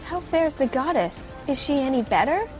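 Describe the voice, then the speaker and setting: A woman's voice: high-pitched, big pitch swings, moderate pace. A woman playing a devout, deferential clan queen in a fantasy audio drama, speaking humbly and reverently. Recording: loud music under the voice.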